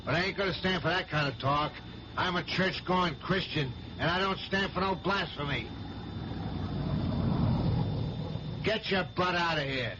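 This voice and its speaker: A man plays a gruff working truck driver, folksy and blunt with a short temper. The voice is fairly high for a man, acted in 1970s radio style. The recording is muffled, with plain noise behind it. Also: normal speed, natural voice. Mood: angry. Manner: blunt, colloquial. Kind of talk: 1970s radio drama acting.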